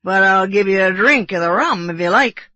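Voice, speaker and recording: An audiobook narrator voicing a brash, rough, drunken sailor, a man who blusters with belligerent scorn. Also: medium pitch, lively intonation, moderate pace, bright, forceful tone, no room sound, dull audio. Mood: defiant, boastful. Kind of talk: audiobook character voice.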